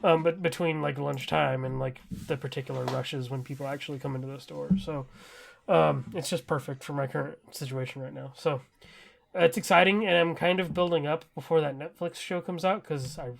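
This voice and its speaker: Higher-pitched gravelly man, chatty podcaster. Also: fairly even intonation, fairly quick. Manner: rambling, enthusiastic, heavy use of "like". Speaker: podcast co-host. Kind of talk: casual chat.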